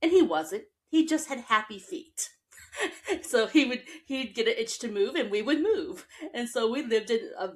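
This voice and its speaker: A woman's voice: on the high side, expressive, moderate pace. A woman from Texas and the Midwest hosting an interview in a casual, reflective conversational style. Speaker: interview host.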